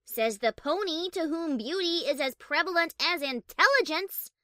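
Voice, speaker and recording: A woman voicing a prim high-class pony in a fan audiobook, formal, haughty and drily sardonic, yet fond. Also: lively intonation, moderate pace, sharp tone, dry recording, studio quality, exaggerated voice. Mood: dry teasing. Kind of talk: fan audiobook character acting.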